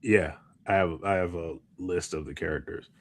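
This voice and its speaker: Male podcast co-host, lowish voice, loose and rambling, circles back over his own phrases in casual chat. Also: steady tone. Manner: halting, rambling, repeats phrases.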